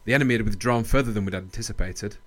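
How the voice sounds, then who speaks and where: A man playing a loyal, principled army captain in a radio play, earnest, measured and firm. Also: on the low side, fairly bright tone. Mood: respectful, puzzled. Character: loyal, principled British army captain defending young soldiers. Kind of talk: radio drama acting and narration.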